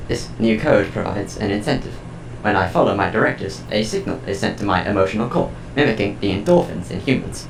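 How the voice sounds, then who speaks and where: Man as a gravelly, cold household AI. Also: sits low, brisk, few pauses, roomy sound, over music, rough recording. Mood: detached, explanatory. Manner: stilted, precise, emotionless. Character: cold, formal male household artificial intelligence. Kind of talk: audio drama acting.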